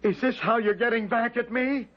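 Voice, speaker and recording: A man's voice: very high voice, steady tone, normal speed. An actor playing a desperate, guilt-ridden working man in an old-time radio drama, weary and agitated. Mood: frantic, pleading. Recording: dull lo-fi radio recording with music.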